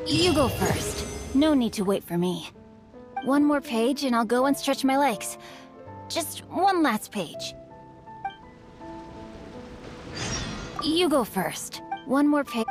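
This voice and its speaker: An earnest young swordsman who loves books, voiced by a woman, with a fairly high, youthful voice. He speaks in formal, courtly phrases and likes to quote proverbs. Soft game music plays beneath him. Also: expressive, unhurried. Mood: absorbed. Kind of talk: video game voice acting.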